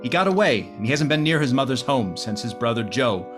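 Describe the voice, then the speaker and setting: A man playing a brooding, insistent gentleman sleuth in 1930s radio drama style. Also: medium pitch, sing-song, fairly quick, close to an everyday voice. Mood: grave. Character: grave, determined gentleman sleuth. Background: loud music.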